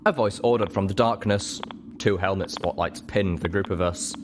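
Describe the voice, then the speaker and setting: Very low-voiced man narrating a story. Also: normal speed, noisy background. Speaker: audiobook reader.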